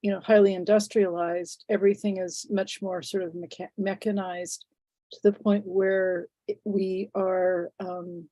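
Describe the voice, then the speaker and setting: A woman in her sixties, phlegmy and sniffly from a head cold, speaks as an interview guest in a thoughtful, halting way full of fillers and restarts. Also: medium pitch, little pitch movement, mellow, no room sound. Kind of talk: reflective conversational interview.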